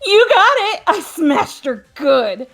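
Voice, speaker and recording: Woman doing a high, theatrical killer girl, cheerfully deranged. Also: sing-song, takes it slowly, a bit bright, faint music behind, exaggerated voice. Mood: playful.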